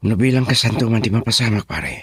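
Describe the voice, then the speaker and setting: A man playing a husky, low, resigned young man. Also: animated, fast, no echo. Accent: Ilocano. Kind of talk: radio drama acting.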